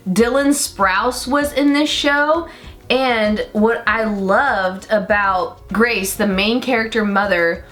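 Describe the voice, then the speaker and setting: Chatty deep-voiced young woman. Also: fairly bright tone. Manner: rambling, enthusiastic, lots of fillers. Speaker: beauty vlogger. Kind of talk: chatty get-ready-with-me makeup talk.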